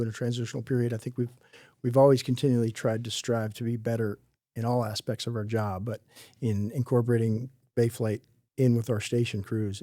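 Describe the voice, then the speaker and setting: A man's voice: medium pitch, dark tone, no echo, clean studio sound. A middle-aged man hosting a podcast interview as a fire chief, asking questions in a conversational, upbeat way.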